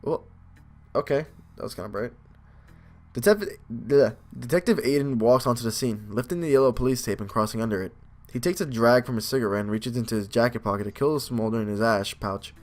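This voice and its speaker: A man's voice: medium pitch, a little fast. A man doing casual let's play commentary, reading game narration aloud and adding informal, joking asides. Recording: faint game music behind.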